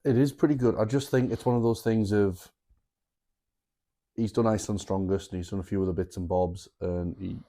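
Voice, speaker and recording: A man's voice: lowish voice, fairly even intonation, fairly quick, dark tone. A man co-hosting a podcast, telling stories with casual, sweary banter. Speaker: podcast co-host.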